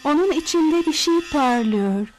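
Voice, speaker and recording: A woman playing an uneasy fortune-teller in a cartoon dub, speaking dramatically with hesitant pauses. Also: sits high, animated, a little hiss or hum, low-quality recording. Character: female fortune-teller gazing into a crystal ball, uneasy. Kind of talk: cartoon dubbing.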